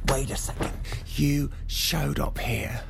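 An actor playing a weary, conflicted man in a naturalistic audio drama, speaking earnestly and haltingly with emphasis. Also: mid-range voice, fairly even intonation, vocal fry, noisy background. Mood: wounded, earnest. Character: conflicted, weary man torn over a former lover.

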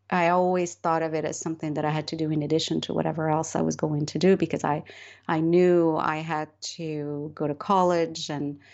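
A low-voiced middle-aged woman from Morocco, speaking as a novelist in an interview. She talks thoughtfully, pausing with fillers and restarting her sentences. The recording sounds a little dull. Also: creaky, darkish tone. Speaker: novelist guest. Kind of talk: interview conversation.

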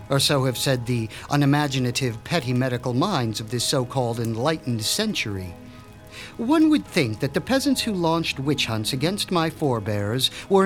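An actor playing a proud, formal mad-scientist doctor in a radio drama, speaking with precise, pompous diction. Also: male voice, mid-range voice, close to an everyday voice. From Switzerland. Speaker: mob doctor.

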